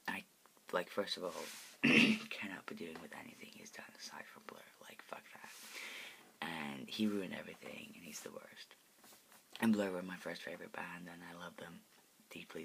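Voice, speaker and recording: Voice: deep voice, gravelly, bright, forceful tone, a little hiss or hum, low-quality recording. A person in their late twenties whispering a casual, profane chat from a parent's house, full of "like" fillers. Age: 20s. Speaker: video blogger answering viewer requests.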